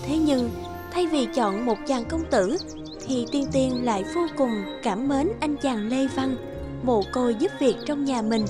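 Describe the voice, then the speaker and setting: A woman reading scripted folk-tale narration with measured storytelling delivery. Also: high-pitched, music in the background.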